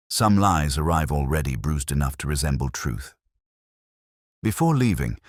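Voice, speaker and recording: Brooding, world-weary inspector, played by a man, measured and reflective literary narration, extremely low voice, dry close recording. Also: dry recording. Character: brooding, world-weary English police inspector recounting a case. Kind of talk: literary first-person scripted narration.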